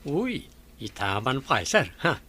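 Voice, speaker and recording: A man's voice: medium pitch, sing-song, unhurried. An actor playing a kindly, chatty old working man in a radio comedy drama, with a folksy manner. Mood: deferential, amused. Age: older.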